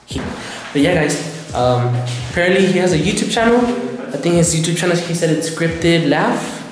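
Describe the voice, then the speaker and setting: A young man, hosting a casual vlog and telling rambling, joking stories while filming himself in a dark abandoned prison. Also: a little high, normal speed. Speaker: vlog host. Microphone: handheld camera at arm's length. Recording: echoey concrete halls with music.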